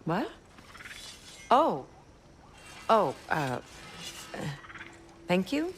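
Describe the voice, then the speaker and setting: A woman's voice: medium pitch, wildly swooping pitch, very slow, slight background noise, exaggerated voice. A woman playing a proud, composed warrior in a video game, speaking in a dry and formal way. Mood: guarded, flustered. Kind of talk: dramatic video game acting.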